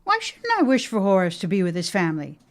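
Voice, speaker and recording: A woman's voice: medium pitch, wildly swooping pitch, fast. An actress playing a stubborn, sentimental middle-aged widowed mother in a period audio drama, theatrical and insistent with old-fashioned phrasing. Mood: insistent, reproachful.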